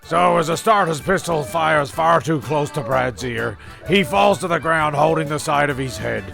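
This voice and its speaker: Very creaky, rambling male narrator. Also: fairly high pitch, moderate pace, a bit bright, faint music behind. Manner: hesitant, rambling, repeats himself. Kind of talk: rambling comedy radio play narration.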